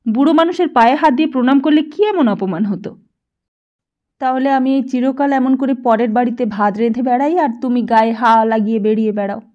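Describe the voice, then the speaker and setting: A woman's voice: fairly high pitch, a little fast, highs cut off, close to an everyday voice. A woman voicing an anxious, hard-working poor mother in an audiobook, earnest and urging. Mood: angry scolding.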